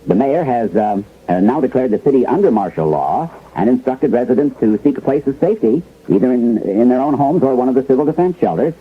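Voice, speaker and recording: An actor playing a flustered newsroom anchor in a radio drama, halting and groping for words on a tinny old broadcast. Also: male voice, mid-range voice, very animated, breathy edge. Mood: flustered, grave. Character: flustered male newsroom anchor handling an emergency. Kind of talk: mock live newscast, 1970s radio drama.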